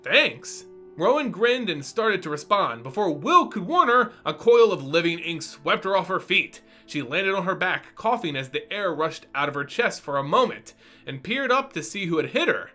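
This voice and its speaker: A man giving an animated, expressive dramatic reading of a fantasy story as narrator. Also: high-pitched, moderate pace, faint music behind. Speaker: narrator and reader.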